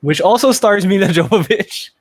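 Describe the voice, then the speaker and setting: A man's voice: high voice, normal speed. A man co-hosting a podcast, giving exclamatory reactions and opinions in casual banter. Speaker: podcast co-host.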